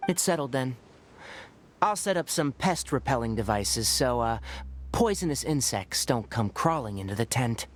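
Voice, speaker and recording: A man voicing a patient, knowledgeable young ranger in a video game, calm and explanatory with a mildly teasing edge. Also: mid-range voice, moderate pace, slight background noise, lightly acted. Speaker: forest ranger and guide. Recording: game audio with faint music behind. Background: faint game music.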